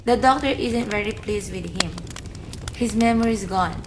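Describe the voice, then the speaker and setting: A woman's voice: mid-range voice, moderate pace, noisy background, lightly acted. An actress playing an emotional, easily wounded woman in a radio play. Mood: subdued, gentle. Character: bitter, hurt young woman, later gentle middle-aged daughter.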